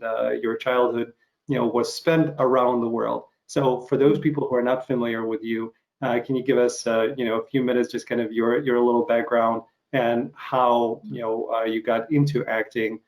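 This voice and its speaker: A middle-aged man from Ukraine hosting a casual interview over a video call, talking in a halting, rambling way with frequent restarts. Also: medium pitch, darkish tone, rough recording. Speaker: interview host.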